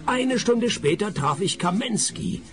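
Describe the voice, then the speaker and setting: A man's voice: sits high, animated, measured pace, a bit bright, faint music behind. An actor playing a world-weary, sarcastic male private detective who narrates his case in a radio play. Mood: wry, weary. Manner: dry, sarcastic, laconic.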